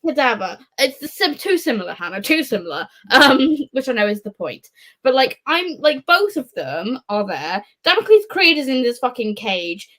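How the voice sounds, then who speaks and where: A woman's voice: mid-range voice, fairly bright tone. A woman in a casual live book discussion, rambling along in a wry, sweary way. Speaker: book readalong panellist.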